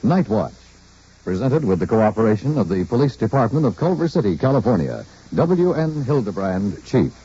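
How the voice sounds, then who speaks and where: Husky, animated old-time radio announcer man. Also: on the low side, moderate pace. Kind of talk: dramatic scripted 1950s radio announcing. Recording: muffled old radio broadcast recording.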